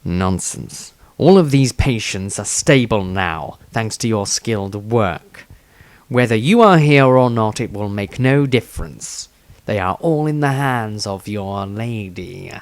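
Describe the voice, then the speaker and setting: A man's voice: fairly low pitch, expressive, measured pace, no room sound. A man voicing a small, blunt, proud hedge wizard in a fantasy story reading, brusque and matter-of-fact.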